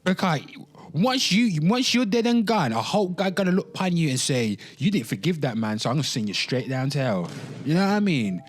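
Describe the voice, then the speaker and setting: Theatrical man with wide, swooping pitch. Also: very animated, soft background music. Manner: theatrical, drawn-out words and exclamations; informal and sweary, addressing listeners directly. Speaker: host of a pop culture commentary show. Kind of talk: dramatic reading mixed with off-the-cuff ranting.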